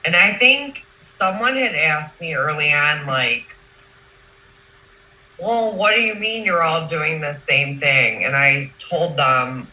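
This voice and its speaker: A woman's voice: low voice, expressive, takes it slowly, bright, forceful tone. A woman hosting a casual chat over a video call, speaking emphatically and effusively. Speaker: show host. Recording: echoey, noisy video call.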